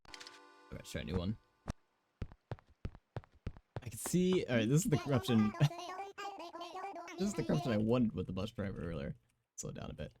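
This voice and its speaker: Giggly raspy young man streaming a game. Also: lowish voice, very animated, normal speed, long pauses, music in the background. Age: 20s. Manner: giggly, rambling chatter full of fillers. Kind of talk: casual live game-stream commentary.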